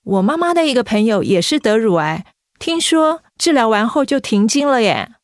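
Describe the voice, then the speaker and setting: A woman's voice: a little high, very animated, normal speed, no echo, clean studio sound. A woman acting an impressionable, easily flustered young office worker in a radio drama, girlish in manner. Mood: curious, pitying. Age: young adult.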